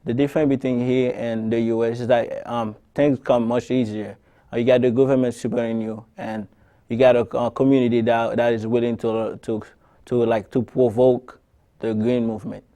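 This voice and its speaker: Mellow-voiced man from Haiti, halting. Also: mid-range voice, little pitch movement. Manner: halting, with frequent restarts and fillers. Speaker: interviewee. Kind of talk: personal testimony.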